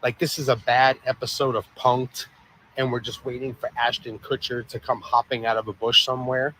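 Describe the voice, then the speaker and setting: A man's voice: mid-range voice, level tone, normal speed, bright, forceful tone, low-quality recording. Sarcastic male commentator, exasperated, slight background hiss.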